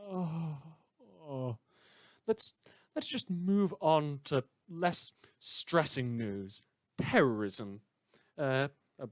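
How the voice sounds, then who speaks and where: A man playing a rambling, conflicted late-night radio host in a post-apocalyptic audio drama, with a wry, halting, self-correcting manner. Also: fairly high pitch, fairly bright tone, no echo. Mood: flustered, then deadpan, fumbling.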